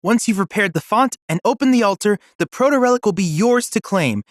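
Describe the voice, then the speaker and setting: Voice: mid-range voice, animated, fairly quick, no echo, studio quality, natural voice. An actor voicing an earnest, brainy boy-like android assistant in a video game, youthful-sounding and speaking in formal, precise, bookish phrases. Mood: helpful, eager. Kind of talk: video game voice acting, scripted dialogue.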